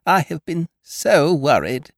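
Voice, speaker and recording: Man putting on a posh fretful lady for a comedy audiobook, higher pitched, fluttery and plaintive, really dry sound. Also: sing-song, takes it slowly, creaky, no echo, exaggerated voice. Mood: plaintive, worried. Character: haughty upper-class lady hostess, fretful, tremulous hypochondriac. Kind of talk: humorous audiobook character dialogue.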